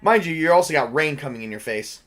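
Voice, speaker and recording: A man's voice: on the high side, expressive, fast talker, a bit bright. A man acting as game master, narrating and making rulings in a casual, off-the-cuff, conversational way.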